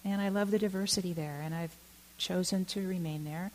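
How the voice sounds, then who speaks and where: A middle-aged woman from Oakland giving a reflective talk, halting and candid, with many fillers and self-corrections. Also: lowish voice, steady tone, darkish tone, rough recording. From Oakland, California. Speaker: dharma talk speaker.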